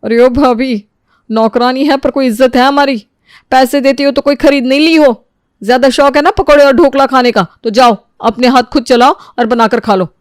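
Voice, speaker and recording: Woman acting a proud, clear-voiced feisty housemaid. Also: high voice, steady tone, moderate pace, no echo, clean studio sound, lightly acted. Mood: defiant. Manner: defiant, argumentative. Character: feisty housemaid, proud, talks back to her employer.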